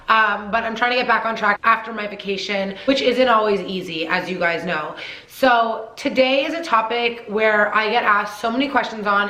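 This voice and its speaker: A young woman talks through skincare tips in a chatty, emphatic way, repeating herself to drive a point home. Her voice sits a touch high and sounds a little nasal and blocked from a cold. The room around her gives a noticeable echo. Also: sharp tone. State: congested from a cold, slightly nasal. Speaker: beauty vlogger. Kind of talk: casual skincare advice vlog.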